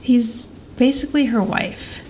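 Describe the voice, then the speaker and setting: A woman's voice: a little high, measured pace, darkish tone. Chatty woman podcast co-host, noisy recording. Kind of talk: casual conversational TV recap.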